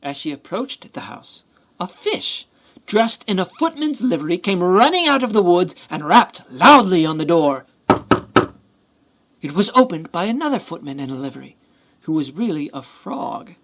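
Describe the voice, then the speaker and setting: A woman narrating a radio play in an expressive, scripted storybook style. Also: lowish voice, moderate pace.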